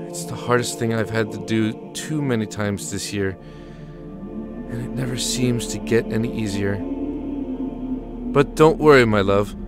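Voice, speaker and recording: A man's voice: lowish voice, measured pace, creaky, pauses often. A man playing a weary, affectionate army lieutenant reading out his letter home in an audio drama, tender and stoic. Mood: sombre, weary, then reassuring, loving. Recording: music under the voice.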